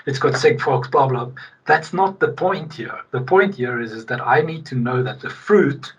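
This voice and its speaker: A middle-aged man from South Africa, a guest in a casual podcast interview, talking in an animated, emphatic way with frequent restarts. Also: medium pitch, breathy edge. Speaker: guest, logistics executive. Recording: distant, dull remote-call sound.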